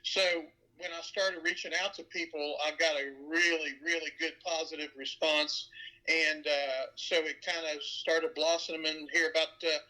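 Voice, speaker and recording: A man's voice: on the high side, little pitch movement, normal speed, pushed and bright, poor audio. A man with a nasal voice from West Virginia, chatting as an interview guest and rambling with many fillers. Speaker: guest, tribute band frontman. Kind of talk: casual interview chat.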